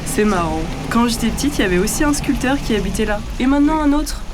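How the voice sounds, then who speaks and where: A woman plays a cheeky local hitchhiker in an audio drama, with a fairly high voice. She is talkative, familiar and teasing, heard over clear car noise as she gives directions. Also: animated, fast, lightly acted. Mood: curious, brisk. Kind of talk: naturalistic audio drama acting. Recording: inside a noisy moving car.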